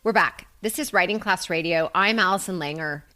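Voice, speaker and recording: A woman's voice: on the low side, brisk, pushed and bright, dry recording. A woman co-hosting a podcast, delivering a scripted introduction to the show. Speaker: podcast co-host and editor.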